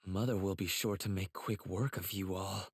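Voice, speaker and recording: A man voicing a cold, menacing young demon in an anime game cutscene, speaking quietly and threateningly in long reverb. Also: sits low, fairly even intonation, moderate pace, breathy edge. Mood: cold menace.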